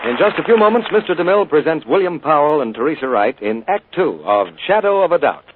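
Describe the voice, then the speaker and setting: A man announcing on an old radio show, polished and cheerful, with a husky voice, on a tinny broadcast. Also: fairly high pitch, sing-song, fast talker, slight background noise. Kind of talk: scripted announcing and commercial patter.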